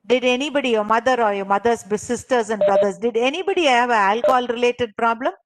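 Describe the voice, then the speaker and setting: High-voiced woman teaching, instructive. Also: fast talker, poor audio. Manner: instructive, repeats herself and checks understanding. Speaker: trainer leading a clinical interviewing course. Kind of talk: interactive online lesson, explaining and quizzing participants.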